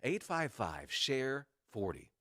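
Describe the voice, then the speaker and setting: A man's voice: medium pitch, sing-song, slow, bright, forceful tone, no echo, clean studio sound. A man reading a radio advertisement in an upbeat, persuasive way. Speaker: ad announcer. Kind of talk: read advertisement.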